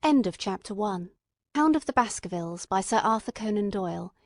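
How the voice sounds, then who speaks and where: A woman's voice: medium pitch, expressive, brisk, no echo. Woman narrating an audiobook, dry close sound. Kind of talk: scripted literary narration.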